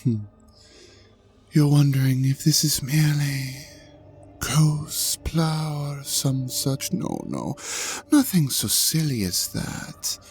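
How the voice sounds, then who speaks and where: A man playing an ancient, vain dragon god in an audio drama, pompous and mock-courteous, with a theatrical drawl that sinks into breathy murmurs. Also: a little high, lively intonation, slow, soft background music. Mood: haughty, amused. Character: ancient vain male dragon god, suave, hypnotic, menacing host. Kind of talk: scripted audio drama monologue addressed to the listener.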